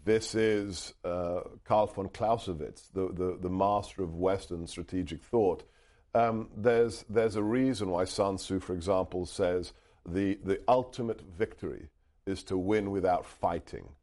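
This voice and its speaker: A man's voice: sits low, a little slow, mellow. A middle-aged man raised in the UK, a Hungarian speaker, earnestly telling a story as a guest and restarting his phrases often. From United Kingdom. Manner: earnest, emphatic, with frequent restarts. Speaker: author and guest. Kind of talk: interview answers, storytelling.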